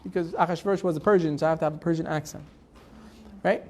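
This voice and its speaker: A man's voice: a little high, fairly even intonation, fast talker. A rabbi teaching a live Torah class. He reads Hebrew verses, translates them phrase by phrase, and asks the class rhetorical questions in a loose, conversational way. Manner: conversational, with restarts and fillers.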